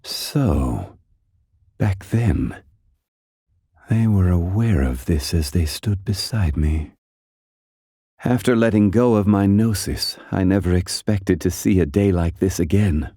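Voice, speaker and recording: A man voicing an ancient god in mortal guise for a video game, courteous and wistful, speaking in a measured, formal, contemplative way. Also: low-pitched, animated, dark tone, slightly rough, dry recording, clean studio sound, exaggerated voice. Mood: composed, grateful. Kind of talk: scripted video game character voice lines.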